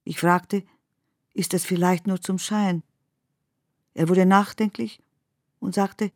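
An actress narrating surreal, dreamlike memories in a poetic radio drama, with measured and deliberate phrasing. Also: female voice, lowish voice, fairly even intonation, a little mellow, no echo, clean studio sound. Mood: reflective.

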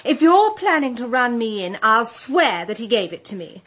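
Brazen, higher-voiced young thief. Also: female voice, big pitch swings, normal speed, a bit bright. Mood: defiant, scornful. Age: young adult. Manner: bold, mocking. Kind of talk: radio drama acting.

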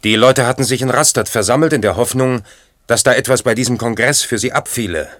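An actor playing a sober, probing questioner and commentator in a radio play, measured and inquiring. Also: male voice, medium pitch, fairly bright tone, close to an everyday voice.

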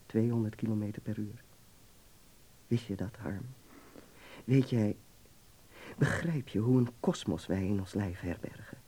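An actor playing a worldly, affectionate young medical student with a fervent, teasing, philosophizing manner in a Dutch radio play. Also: male voice, fairly low pitch, measured pace, pauses often, warm tone, breathy edge. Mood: earnest, marvelling. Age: young adult.

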